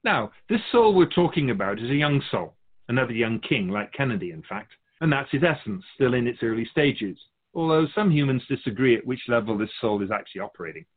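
A man playing a patient, lofty spirit guide who teaches in measured, formal phrases in a scripted audio drama. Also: medium pitch, animated, phone-quality sound, lightly acted. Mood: patient, instructive. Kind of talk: scripted dialogue in a fiction podcast.

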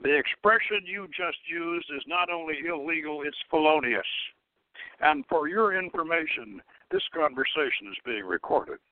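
A man's voice: a little high, animated, normal speed, dry recording, lightly acted. Man playing a sardonic, condescending dystopian bureaucrat. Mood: condescending, officious. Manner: condescending, lecturing, officious. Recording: narrowband radio-like sound, dry.

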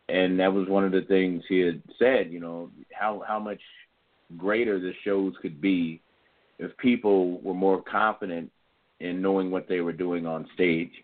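A man with a very low voice chats easily as a podcast co-host. His talk is relaxed and joking. The sound is thin and phone-like. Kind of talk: casual chat. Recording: tinny phone-like line.

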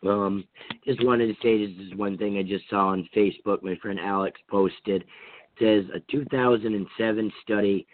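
A hoarse man with a cold from Vermont, hosting a call-in radio talk show in a rambling, casual way with many fillers and restarts. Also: lowish voice, fairly even intonation, normal speed, slight background noise. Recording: tinny phone-line sound.

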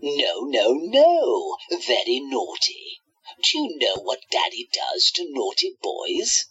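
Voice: medium pitch, huge pitch swings, fairly bright tone, husky, muffled recording, full character voice. Wildly swooping, gloating mad tyrant. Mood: menacing, mock-sweet. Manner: theatrical, mock-sweet taunting, drawn-out words. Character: gloating sadistic alien tyrant, playfully menacing madman. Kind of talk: radio play acting.

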